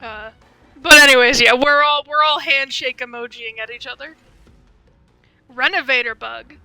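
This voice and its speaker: Female game streamer, young adult: high, piercingly bright, chatty and rambling, dry sound. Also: normal speed, smooth, no room sound, faint music behind. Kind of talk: casual live game commentary.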